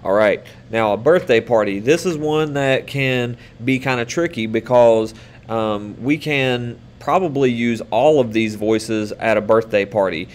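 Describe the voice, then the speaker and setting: A man in his thirties giving an online lesson to children, speaking patiently and upbeat, with many fillers and pauses, recorded on a webcam in a small room. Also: mid-range voice. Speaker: teacher.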